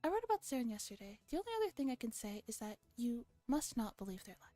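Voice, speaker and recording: A woman's voice: a little high, very animated, quick pace, dark tone, no echo, soft background music. A woman voicing a condescending, self-assured internet theorist in a visual novel, with a lecturing manner. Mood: patient condescension.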